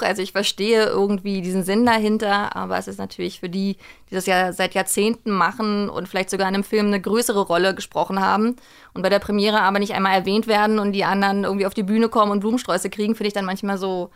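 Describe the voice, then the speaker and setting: A woman's voice: medium pitch, fairly even intonation, quick pace, smooth. A woman talking casually as an interview guest. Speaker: guest, voice actress and director.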